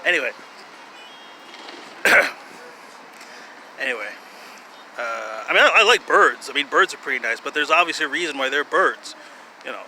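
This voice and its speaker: A man, giving a halting, repetitive, sardonic and casually profane monologue. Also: a little high, animated, bright, forceful tone. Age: 30s. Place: outdoors. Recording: clearly noisy outdoor urban ambience, traffic.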